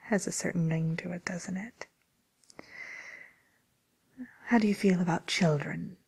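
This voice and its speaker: A woman playing a polite, tactful matchmaker for villains in an ASMR roleplay, with a soft, whispery voice and hesitant, courteous service patter. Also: lowish voice, steady tone, fairly quick, creaky, slightly rough, exaggerated voice. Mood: tactful, confiding.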